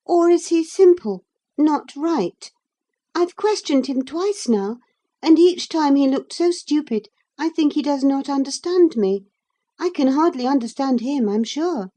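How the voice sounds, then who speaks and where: A woman voicing a haughty, curious young girl in an audiobook, speaking in a high, incredulous way. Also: expressive, a little slow, warm tone. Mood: prim.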